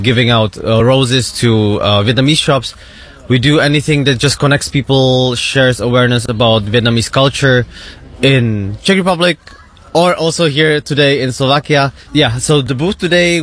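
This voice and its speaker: A man's voice: medium pitch, a bit bright. A man representing a nonprofit, explaining its community work steadily at a festival booth. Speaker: NGO representative. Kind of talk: interview answers.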